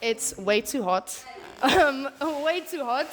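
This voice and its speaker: A woman's voice: high voice, lively intonation, takes it slowly, fairly bright tone, slight background noise. A woman from South Africa, a teacher, answering interview questions in a light, laughing way. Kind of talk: casual interview.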